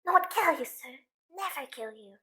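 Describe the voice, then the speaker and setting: Woman voicing an anxious, bright-voiced little house elf. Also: sits high, lively intonation, normal speed. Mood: aggrieved, pleading. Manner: speaks of self in third person, deferential. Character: small, anxious, servile male house elf. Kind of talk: fan audio drama acting.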